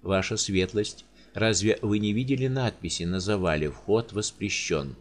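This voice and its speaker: A man voicing a gentle, mournful four-hundred-year-old male giant in an audiobook, speaking deep, heavy and slow. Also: natural voice. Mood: plaintive.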